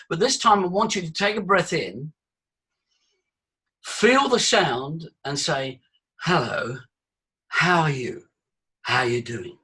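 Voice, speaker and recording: Man, voice coach, husky, slightly high, leads breathing drills. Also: pushed and bright. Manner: breathy, demonstrative, leads vocal exercises. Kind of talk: live workshop teaching.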